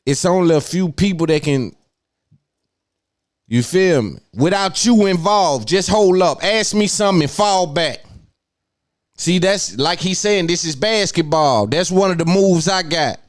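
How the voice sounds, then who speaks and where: A man's voice: high voice, animated, measured pace, dry recording, studio quality. A man hosting a podcast, giving an opinionated monologue and repeating phrases for emphasis. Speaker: podcast host.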